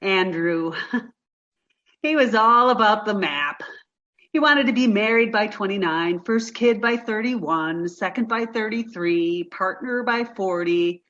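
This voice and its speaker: An actress playing an apologetic, grieving forty-three-year-old artist in a play reading, with a halting, emotional delivery. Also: female voice, medium pitch, fairly bright tone, highs cut off.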